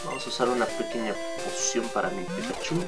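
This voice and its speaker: A Mexican man talks his way through a video game in a middle-pitched voice that is slightly under the weather. He rambles casually and hesitantly, dropping mild swear words as he plays. Game music plays clearly behind him. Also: quick pace. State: slightly sick. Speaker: let's play gamer. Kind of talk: casual gameplay commentary.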